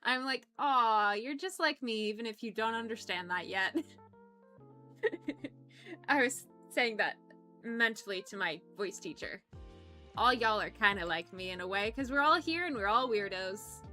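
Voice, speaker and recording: Young woman, streamer, rambling casual chat, laughs a lot, bright tone, faint music in background. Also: a little high, animated, unhurried. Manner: chatty, rambling, stumbles over words; giggly, laughs often.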